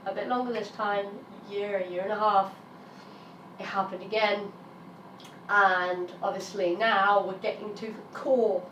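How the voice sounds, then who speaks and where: A British woman in her thirties, a little raspy from illness, talking frankly and emphatically to her vlog audience. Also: medium pitch, a little hiss or hum. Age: 30s. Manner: frank, emphatic, swears when frustrated. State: raspy while getting over an illness. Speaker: vlogger. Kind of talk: candid personal vlog monologue. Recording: roomy sound from a distance, faint ambience.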